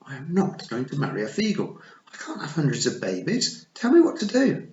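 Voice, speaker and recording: A man's voice: fairly high pitch, wildly swooping pitch, a little fast, darkish tone, pitched above the performer's own voice. A man voicing a determined, practical young girl with a firm, matter-of-fact manner. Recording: echoey, slightly dull.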